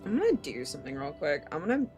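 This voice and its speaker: A woman streamer reading a visual novel aloud and commenting casually, animated, expressive and free with swearing. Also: low-pitched, fast. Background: game music.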